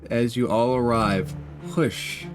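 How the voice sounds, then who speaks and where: Male game master, unhurried delivery, mid-range pitch, guiding tabletop play over prominent guitar music. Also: steady tone. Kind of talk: narrating and running a tabletop game. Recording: music playing behind the voice.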